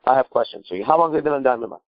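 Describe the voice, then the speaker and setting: A man's voice: medium pitch, lively intonation, racing, dry recording, dull audio. A man from Iran giving improvised advice as a call-in show host, emphatic and blunt, repeating himself and asking rhetorical questions. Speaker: podcast host and coach.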